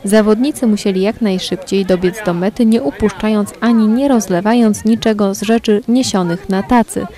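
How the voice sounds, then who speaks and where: A woman reading a scripted local news report in a measured, even way. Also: medium pitch.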